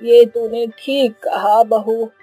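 A woman's voice: a little high, steady tone, takes it slowly, mellow, slightly rough, soft background music. A woman voicing a playful, childlike elderly mother-in-law in a cartoon, drawling and exclamatory. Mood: fussy. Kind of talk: Hindi cartoon voice acting.

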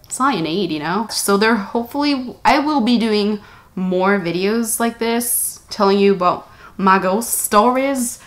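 A woman's voice: medium pitch, unhurried. A young American woman in her twenties telling a story to camera in a small room, chatty and rambling, often correcting herself. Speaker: vlogger. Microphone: camera-mounted shotgun mic.

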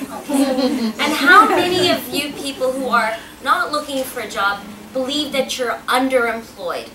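A woman, giving a chatty personal talk as a guest speaker and asking the audience questions. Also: sits high. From Pakistan. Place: event hall with a backdrop, indoors. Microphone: podium mic, filmed from a distance. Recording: roomy, distant, noisy hall sound.

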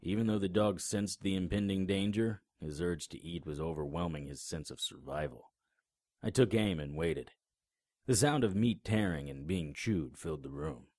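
An actor in an audio drama playing a cynical, sardonic, hard-boiled private investigator with a terse delivery. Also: male voice, low-pitched, animated, normal speed, a little mellow, no room sound, studio quality. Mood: grim narration. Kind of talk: audio drama acting with noir narration.

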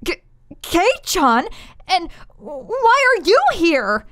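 A woman's voice: very high-pitched, sing-song, very slow, fairly bright tone, no echo, clean studio sound, voice pushed up, exaggerated voice. A woman voicing a flustered, tomboyish teenage anime girl who stammers and exclaims, easily outraged. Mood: shocked, upset.

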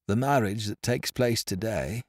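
A man voicing a remorseful old father who confesses in slow, heavy words. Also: fairly low pitch, dry recording, studio quality.

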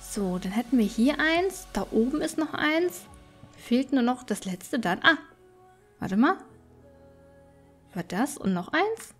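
A high-pitched female let's player talks casually through her game. She thinks aloud in a chatty way, with music playing behind her. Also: fairly bright tone. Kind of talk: casual gameplay commentary.

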